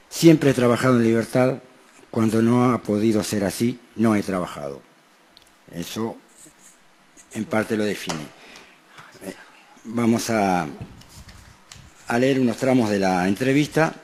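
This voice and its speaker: A man's voice: fairly low pitch, a little mellow. A man co-hosting a radio show, reading a printed interview aloud. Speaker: radio co-host. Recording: noticeable background noise.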